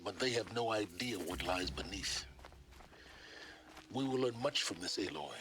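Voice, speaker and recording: An actor voicing a knowing, self-assured male schemer in a video game, speaking in a measured, erudite, faintly theatrical way. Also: low-pitched, noisy background. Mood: grand, knowing. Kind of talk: video game dialogue acting.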